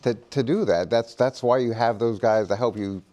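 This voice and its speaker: A man arguing a point as a coach on a sports panel, halting and repeating words as he goes. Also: mid-range voice, dark tone. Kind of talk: unscripted sports debate.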